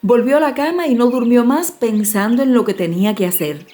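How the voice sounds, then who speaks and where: A woman narrating a fairy tale as a scripted audiobook, in a measured, expressive storytelling manner. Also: fairly high pitch, soft background music.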